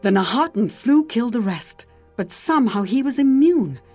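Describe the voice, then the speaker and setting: Earnest game character, husky and mellow, lively up and down delivery. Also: female voice, on the high side, normal speed, soft background music.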